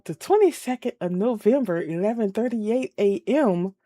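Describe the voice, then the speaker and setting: A woman's voice: mid-range voice, expressive, moderate pace, warm tone, no echo, clean studio sound. A woman streaming a game, chatting casually and teasing someone off-mic between laughs. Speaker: streamer playing a courtroom game. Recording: game music faintly behind.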